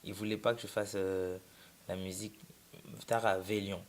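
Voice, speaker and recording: A man's voice: fairly low pitch, moderate pace, slight background noise. A young man from Congo-Kinshasa, a musician telling his story in a casual, rambling way, often restarting his sentences. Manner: relaxed, rambling, with many restarts. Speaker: musician guest. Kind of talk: casual interview, mixing French and Lingala.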